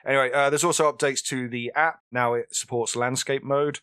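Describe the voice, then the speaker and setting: A man's voice: medium pitch, fairly even intonation, fairly quick, fairly bright tone, clear, resonant tone, no echo. English man co-hosting a podcast, dry sound. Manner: runs through news items with fillers. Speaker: podcast co-host. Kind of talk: casual chat.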